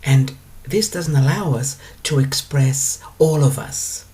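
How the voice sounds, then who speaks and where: A man talking alone as a spiritual teacher, explaining his ideas in a calm, unhurried way with drawn-out pauses. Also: mid-range voice. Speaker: spiritual teacher answering questions. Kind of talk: monologue lecture.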